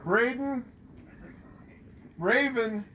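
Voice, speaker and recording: A man announcing graduates' names one by one at an outdoor ceremony, with a big, loud announcing voice. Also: very high voice, extremely slow, slight background noise. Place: outdoors at a campus.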